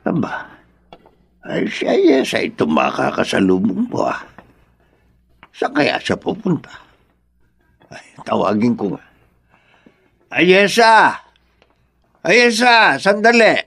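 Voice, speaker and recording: An actor playing a kindly, concerned old grandfather in a Filipino radio drama, exclaiming and gently reproving. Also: high voice, wildly swooping pitch, takes it slowly, vocal fry, pauses often, slightly rough, heavily acted. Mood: curious, friendly. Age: older.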